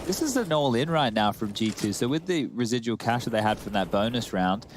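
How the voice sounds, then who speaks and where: A man's voice: medium pitch, expressive, brisk, noisy background. A man giving analytical commentary on an esports match, explaining tactics.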